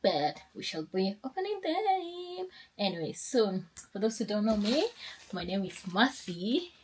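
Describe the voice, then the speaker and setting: A woman's voice: medium pitch, big pitch swings, measured pace, breathy edge. A young woman, chatting casually in a sit-down vlog, upbeat and rambling with restarts. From Kenya. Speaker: lifestyle vlogger.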